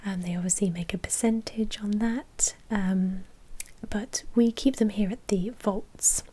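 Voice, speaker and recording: A woman's voice: medium pitch, steady tone, a little slow, mellow, breathy edge. A woman playing a polite, businesslike vampire blood bank manager in a whispered ASMR roleplay, explaining procedures in a helpful, officious way.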